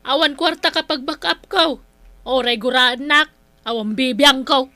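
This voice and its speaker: A woman's voice: high-pitched, measured pace, bright, forceful tone, no echo. A woman playing a stubborn, indignant village woman in an Ilocano comedy drama, with an Ilocano accent and an exclaiming way of talking. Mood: firm, annoyed. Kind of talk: Ilocano comedy radio-style drama acting.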